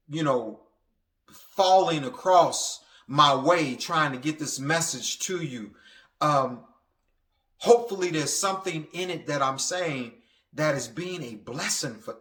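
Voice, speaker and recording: In a roomy, echoing space, a man preaches with a bright, forceful voice that sits a little high. He delivers an impassioned motivational sermon, stumbling and stammering at times, and circles back to repeat phrases for emphasis. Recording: echoey, reverberant room sound.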